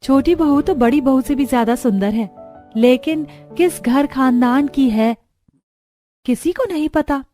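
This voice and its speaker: A woman's voice: high voice, a little slow, dark tone, faint music behind. A woman voicing a gossipy, slighted wedding relative.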